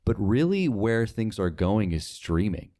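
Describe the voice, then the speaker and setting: Man, young adult, low pitch, casual interview answers, informal rambling phrasing. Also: very animated, measured pace, mellow. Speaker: podcast guest, radio sales associate.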